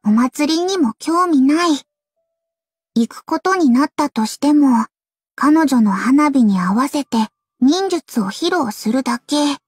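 A woman's voice: high voice, animated, slow, dry recording, soft background music. An actress voicing a grumpy, sleepy young ninja girl in a game voice line, talking bluntly. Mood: grumbling.

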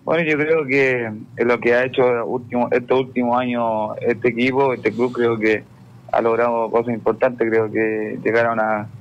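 A young Chilean man, a football player guest on a radio show, answering haltingly with many repeated words and frequent "claro". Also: medium pitch, flat delivery, dull audio. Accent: Chilean Spanish.

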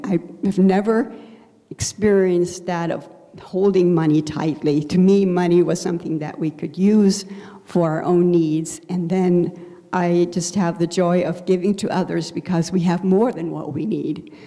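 An older woman reflects on her own life in an interview. Her voice is soft-edged and mellow, a little on the low side. She speaks into a handheld mic in a reverberant church. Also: fairly even intonation, unhurried. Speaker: church member being interviewed. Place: indoor church sanctuary. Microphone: handheld microphone. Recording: reverberant hall, handheld microphone.

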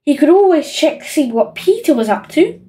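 A woman narrating a children's audiobook in an expressive storytelling style. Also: high voice, normal speed.

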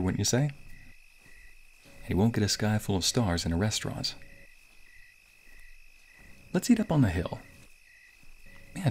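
A man acting a sweet, bashful young waiter on a romantic date in an ASMR roleplay, with a soft, breathy, playful and hesitant delivery. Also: fairly low pitch. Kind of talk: ASMR roleplay, intimate scripted boyfriend monologue.

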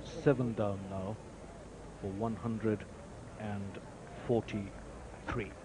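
A man giving ball-by-ball cricket commentary, lifting his voice sharply when wickets fall. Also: fairly low pitch. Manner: excited rises on wickets, measured otherwise. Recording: noisy old broadcast tape.

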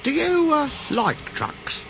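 A man's voice: very high-pitched, wildly swooping pitch, slow, gravelly, noisy background, pitched above the performer's own voice, full character voice. A man voicing a polite, curious and eager steam engine in a children's story, with an inquisitive manner. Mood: curious, surprised.

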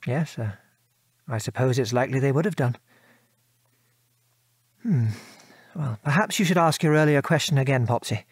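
A man's voice: medium pitch, normal speed, pauses often, breathy edge, no room sound, close to an everyday voice. An actor playing a modest, remorseful English father and former RAF bomb aimer in an audio drama, with a British accent and hesitant, genteel speech. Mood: hesitant, thoughtful. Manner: hesitant, reflective, genteel old-fashioned turns of phrase. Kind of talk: audio drama acting, reminiscing monologue.

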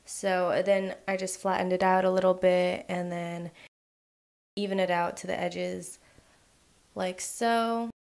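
A young woman hosting a home cooking channel, walking through a recipe in a relaxed way with lots of "um" and "like". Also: on the low side, takes it slowly, gravelly. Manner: relaxed, filler-heavy instructions with frequent "um" and "like". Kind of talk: casual recipe voice-over.

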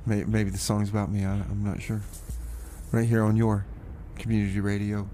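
A man's voice: on the low side, little pitch movement, normal speed. A man hosting a music radio show in a folksy, rambling, hesitant way, often repeating himself and poking fun at himself. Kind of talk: rambling music radio presenting between songs.

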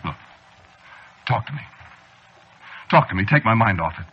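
A man's voice: lowish voice, animated, moderate pace, husky. An actor playing a frightened, desperate man losing his sanity, pleading and jittery in 1940s radio drama style. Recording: muffled restored old radio recording.

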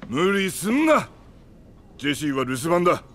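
A male voice actor playing a gruff, hot-tempered resistance leader in a video game, blunt in delivery. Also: a little high, very animated, moderate pace, fairly bright tone. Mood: firm. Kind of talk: Japanese video game voice acting.

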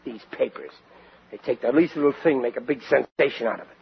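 An actor in a 1940s radio sitcom playing an excitable, dim, sentimental family man, blustering and plaintive in a colloquial way. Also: medium pitch, a little fast, vocal fry, natural voice. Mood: grumbling, amused. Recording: noisy, dull 1940s radio recording with audience.

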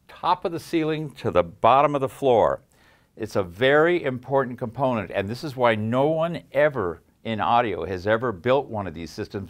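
Older guy doing a techy product walkthrough, emphatic, kinda boastful, mid pitched voice. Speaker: audio engineer presenting his design. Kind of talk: technical demonstration talk. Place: small acoustically treated home theater room.

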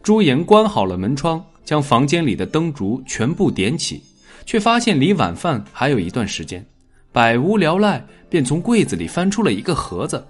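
A man reads a dramatic audiobook with a wide, lively swing in pitch. His voice is slightly husky, and his delivery is measured and expressive. Soft music plays behind him. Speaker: audiobook narrator. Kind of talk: scripted dramatic story narration.

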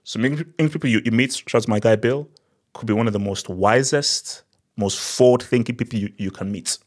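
Thirties Nigerian-accented male commentator; opinionated, sarcastic, animated; small-studio desk-mic sound. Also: medium pitch, brisk. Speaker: political commentary vlogger. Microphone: desk mic on boom arm.

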